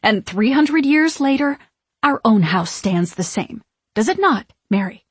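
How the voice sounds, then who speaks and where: An imperious eldest witch from a storybook, acted out by a female narrator, quick to anger and fond of old-fashioned scolding. She snaps out her words like orders, in a close, dry sound. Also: medium pitch, animated, husky, no room sound. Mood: scornful, commanding. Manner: snapping, archaic scolding. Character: imperious, short-tempered witch, eldest of three sisters.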